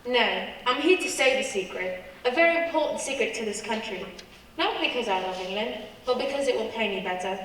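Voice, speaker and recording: A woman's voice: a little high, expressive, a little fast, fairly bright tone, a little hiss or hum. A woman playing a secretive, frightened foreign secret agent in a radio play. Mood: urgent, secretive. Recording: very echoey hall, distant mic.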